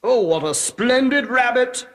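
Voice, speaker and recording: A man's voice: very high-pitched, expressive, measured pace, fairly bright tone, full character voice. A man voicing a genial, pompous king with a gracious, exclamatory manner in a dubbed cartoon fairy tale. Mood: delighted. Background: music.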